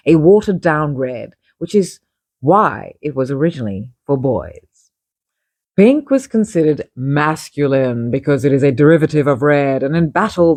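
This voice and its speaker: An expressive narrator reads a written article in a close, dry recording. The pitch swoops widely, and the reading breaks into wry asides and small self-corrections, with short phrases given deliberate emphasis. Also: no echo. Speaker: publisher reading own feature article. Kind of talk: scripted narration with casual asides.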